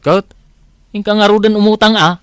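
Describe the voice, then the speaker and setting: A man's voice: high-pitched, fairly even intonation, normal speed, a bit bright, clear, resonant tone, dry recording, studio quality. A man with an Ilocano accent playing a reluctant, grumbling village shopkeeper in a comedy drama. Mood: dismissive.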